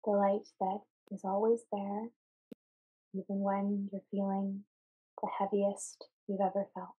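A woman guides a meditation in a soft, husky-breathy voice. Her delivery is very slow and level, with little rise or fall in pitch. She speaks calmly and soothingly, pausing between short phrases. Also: narrow, tinny audio. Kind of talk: guided meditation, calm scripted narration.

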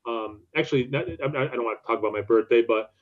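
A man's voice: mid-range voice, fast. Man chatting casually, slightly muffled sound. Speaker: podcast co-host. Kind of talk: casual chat. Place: room at home, video call. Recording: slightly dull lo-fi call audio.

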